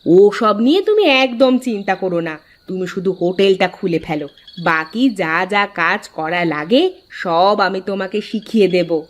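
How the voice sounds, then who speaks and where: A woman playing a caring, encouraging village wife in a cartoon folk tale, speaking in a coaxing, expressive way. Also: medium pitch, measured pace, close to an everyday voice. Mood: reassuring.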